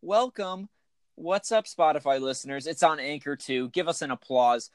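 Raspy man co-hosting a podcast. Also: on the high side, animated, normal speed, no room sound, clean studio sound. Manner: enthusiastic, joking, rambling. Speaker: podcast co-host. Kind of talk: casual chat.

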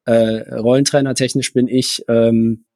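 A man's voice: medium pitch, flat delivery, measured pace, warm tone, dry recording, clean studio sound. A man who co-hosts a podcast and coaches running, explaining things at length with many hesitations. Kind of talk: casual chat.

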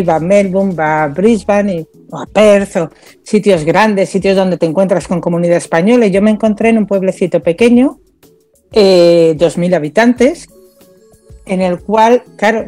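A woman from Spain, a radio guest telling her own story in a relaxed way with many small pauses. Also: medium pitch. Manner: storytelling, hesitant fillers. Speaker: guest, social media community manager. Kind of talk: relaxed interview talk. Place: radio studio.

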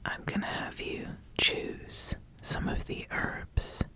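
A woman playing an ambitious witch who sizes up a would-be apprentice in a whispered ASMR roleplay, speaking deliberately and giving gentle instruction. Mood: gently approving. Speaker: ASMR host.

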